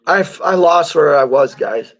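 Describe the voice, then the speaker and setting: A man, a truck driver, chatting casually on a livestream and answering viewer comments, his voice going hoarse, halting with fillers and frequent throat clearing. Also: on the high side.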